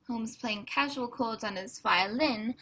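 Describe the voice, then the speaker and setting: A woman's voice: a little high, unhurried, fairly bright tone. A woman playing a fussy, tidy Victorian male doctor who narrates and scolds his untidy friend in a radio play. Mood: genial storytelling. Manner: prim and fussy, exasperated scolding. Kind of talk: amateur radio play acting.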